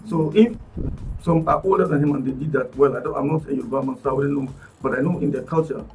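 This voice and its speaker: A male guest on a radio panel, talking forcefully in a lecturing way. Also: mid-range voice, fast talker. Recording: distant mic, faint music behind.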